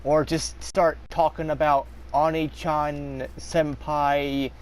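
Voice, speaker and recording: A young man vlogging in a casual, confessional monologue, rambling with many fillers and restarts, his voice slightly raspy. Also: on the high side, little pitch movement, takes it slowly. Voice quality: slightly raspy when talking long.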